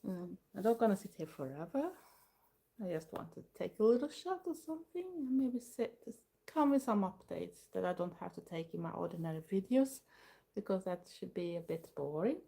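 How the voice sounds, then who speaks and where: An older Swedish woman with a clear Swedish accent, talking English as her second language. Her voice is mellow and soft-edged at a middling pitch. She chats in a loose, unhurried way, filling the gaps while she watches for viewers. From Sweden. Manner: relaxed, chatty, pauses often to read the chat. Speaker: live streamer. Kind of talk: casual solo live stream chat.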